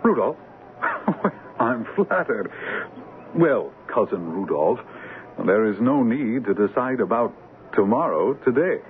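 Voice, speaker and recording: An actor playing a jovial, impulsive young monarch in a 1970s radio drama, with a hearty, regal manner. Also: male voice, mid-range voice, big pitch swings, slow, pauses often. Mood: genial, expansive. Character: jovial, impulsive king about to be crowned. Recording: noisy narrow-band vintage radio broadcast.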